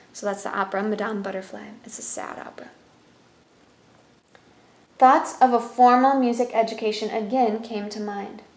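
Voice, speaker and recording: A woman's voice: a little high, darkish tone, roomy sound. A woman reading a children's picture book aloud as a storyteller, in narration with brief explanatory asides.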